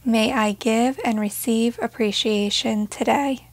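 A woman leading a guided meditation in a gentle, measured way, leaving pauses between short phrases. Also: on the high side, steady tone, dry recording.

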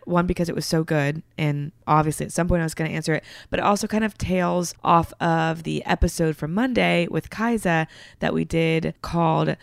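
A woman hosting a podcast and answering a listener question in casual chat, her voice hoarse as it recovers from a throat infection. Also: on the low side, no room sound, clean studio sound. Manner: chatty and earnest. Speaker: podcast host and therapist.

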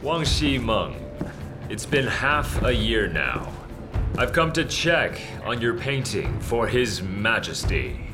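An actor playing a stern, haughty older headmaster in a radio drama, with a pompous, scolding manner. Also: male voice, medium pitch, sing-song, slow, over music. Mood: coldly formal. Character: stern, haughty older male headmaster of imperial painting academy.

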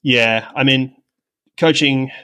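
A man's voice: mid-range voice, steady tone, slow, sharp tone, smooth. A man speaking conversationally as an interview guest, explaining things in a rambling, reflective, self-deprecating way. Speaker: podcast guest, sports technology professional with medical and coaching background.

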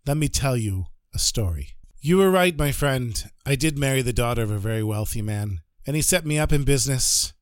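A man doing a calm, earnest wealthy disciple. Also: mid-range voice, a little fast, hardly any pauses, no room sound, clean studio sound, natural voice.

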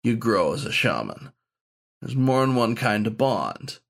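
A man's voice: mid-range voice, very animated, measured pace, creaky, a bit bright, exaggerated voice. A man voicing a frank badger shaman who mentors in a blunt, informal, explanatory way. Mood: instructive.

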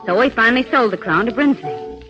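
An actress playing a brisk, eager American newspaper reporter in a 1940s radio crime drama. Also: female voice, on the high side, lively intonation, natural voice. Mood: explaining. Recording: muffled 1940s radio recording with music.

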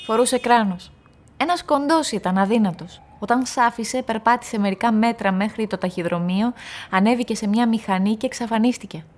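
Actress as a straightforward young student neighbour. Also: female voice, on the high side, slight background noise, lightly acted. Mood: friendly, matter-of-fact. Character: friendly, adventurous young female student neighbour. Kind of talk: radio play acting.

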